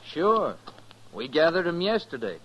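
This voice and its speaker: An actor playing an edgy, challenging American cowhand in 1950s radio western style. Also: male voice, a little high, big pitch swings, a little slow, a bit bright. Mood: guarded. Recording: muffled, noisy vintage radio recording.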